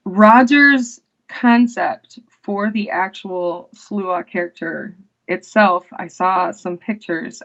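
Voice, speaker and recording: A woman, an actress, chatting casually as an interview guest, unhurried and full of fillers and restarts. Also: medium pitch, muffled recording.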